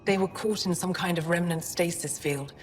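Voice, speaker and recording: An actress playing a wary, skeptical alien scientist in a video game, speaking in a measured, guarded way. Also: female voice, on the low side, lightly acted. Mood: grave, cautious. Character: wary female alien lead scientist, skeptical but practical. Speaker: lead scientist. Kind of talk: video game dramatic acting. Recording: long reverberant hall, faint music behind.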